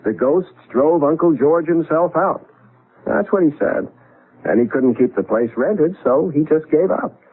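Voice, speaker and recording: Wry young husband, animated, slightly husky, on a tinny old radio recording. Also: male voice, on the high side, a little slow. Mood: teasing, wry. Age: young adult. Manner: teasing, dryly joking. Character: wry, teasing young husband and expectant father. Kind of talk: 1970s radio drama acting. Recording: muffled phone-like vintage radio recording.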